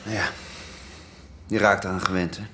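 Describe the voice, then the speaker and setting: An actor playing a wounded, touchy young boyfriend in a naturalistic radio drama. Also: male voice, sits low, level tone, moderate pace, sharp tone. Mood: dejected.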